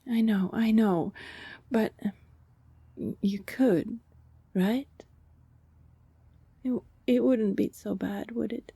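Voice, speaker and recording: A woman playing a lovelorn, anxious vampire neighbor in an ASMR roleplay, pleading haltingly and often slipping into breathy whispers. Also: fairly high pitch, dark tone, dry recording, exaggerated voice. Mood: tender, hesitant.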